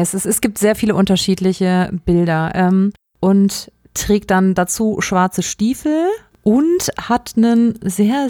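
A woman's voice: medium pitch, dark tone, no room sound. Woman, casual co-host, dry sound. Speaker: podcast co-host. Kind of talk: casual review chat.

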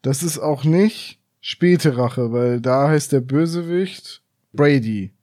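Ein Mann, der in einem lockeren Podcast mitplaudert und trockene Witze macht. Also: male voice, mid-range voice, slow pace, mellow, dry recording. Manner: dry joking, tired. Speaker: podcast co-host. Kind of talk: casual podcast chat.

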